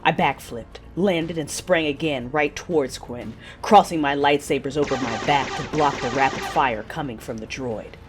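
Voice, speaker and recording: A woman playing a passionate, fierce but wounded Sith warrior, narrating her own story in an intimate, breathy audio-drama style. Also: very deep voice, normal speed, hardly any pauses, music in the background. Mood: fierce, driven. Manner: intimate, breathy, intense delivery.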